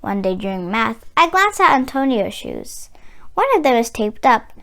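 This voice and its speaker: A woman reading a children's picture book aloud as host, with expressive, dramatic and deliberate phrasing. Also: a little high, creaky. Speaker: read-aloud channel host, storyteller.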